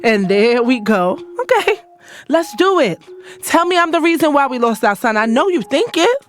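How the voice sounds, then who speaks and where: A woman's voice: sits high, sing-song, moderate pace, faint music behind. A woman playing a hurt, scorned lover in an audio drama, telling it in first person with emphatic, sarcastic delivery. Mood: anguished. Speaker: narrator and lead character in an audio drama.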